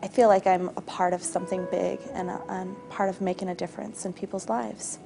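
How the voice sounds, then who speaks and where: A middle-aged woman from Wyoming speaking earnestly and in a measured way in an interview. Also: on the low side, flat delivery, vocal fry, music in the background. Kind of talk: reflective interview testimony.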